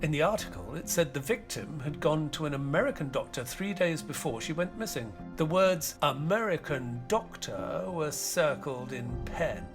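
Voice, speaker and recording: An actor playing an elderly retired doctor and author in an audio drama, narrating in a measured, solemn, wistful way. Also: male voice, on the high side, animated, music in the background, natural voice. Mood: reflective, solemn. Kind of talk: dramatic first-person scripted narration.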